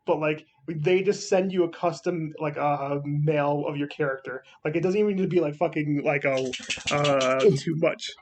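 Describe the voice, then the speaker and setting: Male gaming co-host with a slightly high voice. Casual, blunt and slangy delivery, swears freely. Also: moderate pace, a little hiss or hum. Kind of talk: casual chat about video games.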